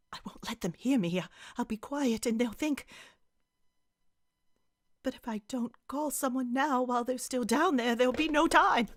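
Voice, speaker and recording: A woman's voice: sits high, fairly bright tone. A woman playing an anxious, demanding invalid wife in a radio thriller, stammering and pleading breathlessly. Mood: hushed fear. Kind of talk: radio play thriller acting.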